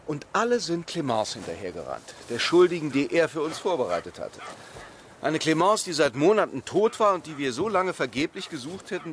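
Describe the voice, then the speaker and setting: A man playing a brooding, intuitive police detective in a radio crime play, explaining deliberately and insistently. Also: fairly high pitch, a bit bright, slight background noise. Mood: reflective. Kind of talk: naturalistic radio drama dialogue.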